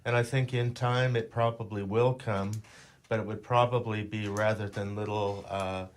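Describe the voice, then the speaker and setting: A man talking casually as a guest landscaper, explaining his work thoughtfully with pauses. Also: on the low side, steady tone. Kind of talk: casual interview talk.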